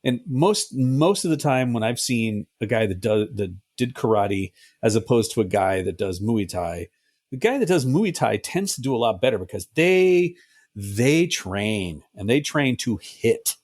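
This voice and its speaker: A man's voice: mid-range voice, expressive, unhurried, darkish tone. A middle-aged man co-hosting a podcast in casual chat, joking and swearing freely. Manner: jokey, slips into silly mock voices and swears. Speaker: podcast co-host.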